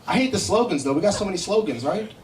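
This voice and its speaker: A man's voice: high voice, lively intonation, quick pace, a little hiss or hum. A middle-aged man with a New York accent performing live stand-up comedy, brash and profane, bantering with the crowd in a conversational way. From Brooklyn, New York, United States. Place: indoor comedy show in a cafe. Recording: echoey live room, miked from a distance.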